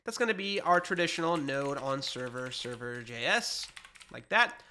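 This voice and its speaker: Bright-voiced man teaching code, stuffed up. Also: medium pitch, expressive, normal speed. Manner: explanatory, conversational, self-correcting. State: stuffed up with allergies. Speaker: programming instructor. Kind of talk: screen-share coding tutorial. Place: small home studio, indoors.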